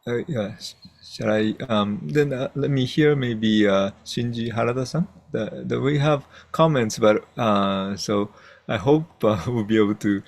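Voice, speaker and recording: A low-voiced man hosts a memorial gathering as its reverend. He speaks softly and hesitantly, pausing often and filling the gaps with small sounds as he finds his words. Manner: soft-spoken, full of fillers and restarts. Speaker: host, reverend. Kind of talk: hosting a memorial event.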